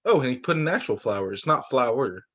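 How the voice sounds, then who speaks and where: Expressive man reading a picture book aloud. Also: mid-range voice, moderate pace. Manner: expressive, exclaims and comments on the story. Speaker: teacher reading to young children. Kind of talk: animated picture-book read-aloud with asides.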